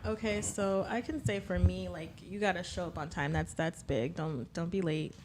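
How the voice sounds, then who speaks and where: A woman's voice: lowish voice, fairly even intonation, normal speed, noisy background. A woman in her early twenties answering casually as a panel guest. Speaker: podcast panelist. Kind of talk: casual chat.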